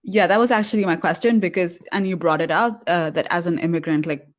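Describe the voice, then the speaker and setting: Female host, low-pitched for a woman, upbeat and chatty, on a live stream. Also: fairly even intonation, brisk. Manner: chatty, upbeat, filler-heavy.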